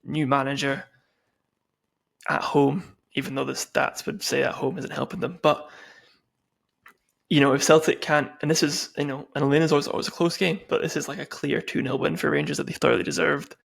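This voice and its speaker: A man's voice: fairly high pitch, level tone, a little fast, creaky, a bit bright. A man co-hosting a sports podcast, talking casually and conversationally about football.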